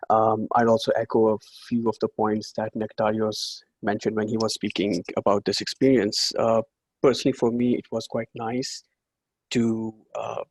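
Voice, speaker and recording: Flat husky-voiced man on a call. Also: on the low side, dry recording, poor audio. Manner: polite, uses "you know" fillers. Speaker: co-author on a panel. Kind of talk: online panel remarks. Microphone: video call.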